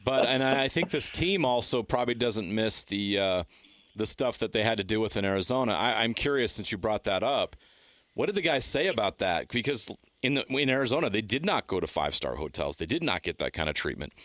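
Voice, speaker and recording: A man's voice: fairly low pitch, animated, a little fast, a bit bright, no room sound, clean studio sound. A man co-hosting sports radio, casually putting questions to a guest.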